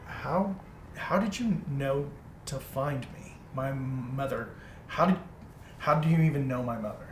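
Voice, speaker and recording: A man's voice: mid-range voice, measured pace, soft background music. Man roleplaying in an echoey room. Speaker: tabletop game player. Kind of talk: in-character casual roleplay. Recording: roomy echo with background noise.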